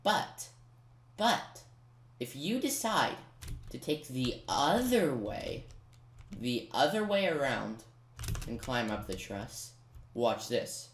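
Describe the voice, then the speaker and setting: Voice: medium pitch, big pitch swings, slow, long pauses, a bit bright, reverberant, slight background noise. A gaming commentator giving casual step-by-step gameplay guidance, informal and talking directly to the viewer. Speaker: video game commentator.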